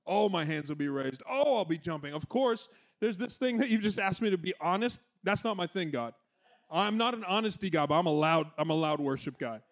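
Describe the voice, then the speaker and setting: A man preaching a sermon with a loud voice, conversational and energetic, with playful asides, in a church. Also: high-pitched, a little fast. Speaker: pastor.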